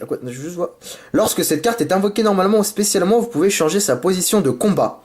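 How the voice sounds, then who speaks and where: A man with a sore throat from a cold, chatting casually and apologetically as he opens trading card packs and gets excited over the cards. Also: high voice. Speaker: YouTuber opening card packs. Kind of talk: casual unboxing commentary. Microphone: camera mic.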